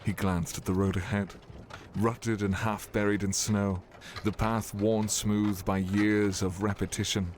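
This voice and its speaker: Very low-voiced man narrating fantasy. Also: flat delivery, a little slow, a little hiss or hum. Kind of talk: scripted fantasy story narration.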